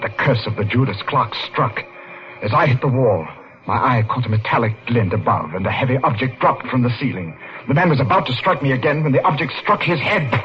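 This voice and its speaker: An actor playing a haunted man recounting his boyhood in dread, in the ornate, suspenseful style of old-time radio horror. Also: on the low side. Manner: dramatic, ornate, suspenseful delivery. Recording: muffled vintage radio, music underneath. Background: dramatic music.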